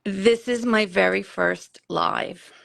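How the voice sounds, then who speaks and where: A woman from Puerto Rico chats on a live video call, friendly and a little nervous, laughing at herself. Her voice is heavily gravelly with lots of fry. She sits at a mid pitch for a woman. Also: slow pace, fairly bright tone. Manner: chatty, self-deprecating, nervous. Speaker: guest crafter. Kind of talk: casual live chat.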